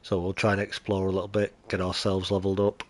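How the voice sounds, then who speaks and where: British male streamer, low-ish voice, congested, nasal, chatty and friendly with chat. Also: monotone, fast talker. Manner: chatty, greets viewers and thanks them. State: nasal and chesty from allergies. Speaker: game streamer. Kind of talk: live stream gameplay commentary.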